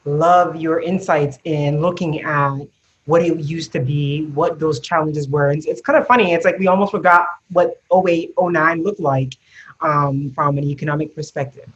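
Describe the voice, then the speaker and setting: A podcast host who praises the guest warmly and asks long, winding questions. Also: medium pitch, steady tone, moderate pace, slightly rough, lo-fi sound. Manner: effusive, affirming, rambling questions. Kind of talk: podcast interview.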